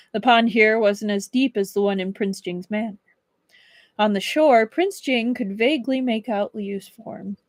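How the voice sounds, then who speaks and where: A woman livestreaming as a book reader, with expressive storybook narration and casual chat. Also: on the high side, no room sound.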